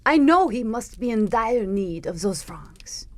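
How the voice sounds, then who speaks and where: A woman's voice: fairly high pitch, sing-song, measured pace, no echo. An actress playing a genteel, earnest Frenchwoman in a radio drama, with a formal and hesitant manner. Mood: anxious pleading.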